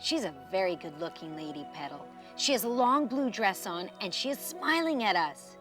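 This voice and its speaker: A woman voicing an excitable, trusting young boy in a storybook, exclaiming in a breathless, wide-eyed way. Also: medium pitch, normal speed, soft background music, lightly acted. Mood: excited, hushed. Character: excitable, trusting young island boy who sees a princess.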